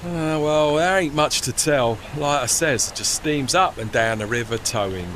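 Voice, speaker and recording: Man playing a plucky, high-voiced steam tugboat. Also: normal speed, a bit bright, slight background noise, lightly acted. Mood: cheeky pride. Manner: colloquial, folksy, non-standard grammar. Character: plucky working-class male steam tugboat, cheeky and proud. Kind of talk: audio drama acting.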